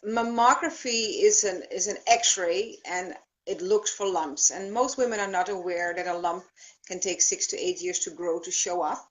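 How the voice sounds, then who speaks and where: A woman from the Netherlands with a Dutch accent, a guest speaking on a video call and explaining her field step by step, with frequent pauses. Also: on the low side, low-quality recording. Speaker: thermography practitioner, guest. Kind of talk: explanatory interview.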